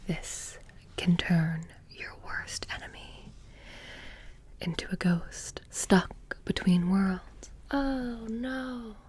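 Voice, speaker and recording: Woman playing a whispering, deadpan young witch shopkeeper. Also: medium pitch. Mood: sly, ominous. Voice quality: breathy, often dropping into whisper. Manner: deadpan, casual, many drawn-out pauses and filler "like". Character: bored, petty, casually menacing young witch shopkeeper. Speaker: ASMR roleplay performer. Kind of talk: soft-spoken ASMR roleplay.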